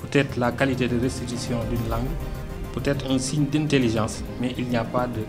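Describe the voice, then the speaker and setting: A Senegalese man speaks French as his second language, answering questions in a radio studio. His voice sits at an ordinary middle pitch and he keeps stopping to search for words. Faint music runs softly underneath. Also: steady tone, brisk. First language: Arabic-educated, not a French native speaker. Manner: hesitant, many fillers and restarts, earnest. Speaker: political party delegate, radio guest. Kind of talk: radio political interview.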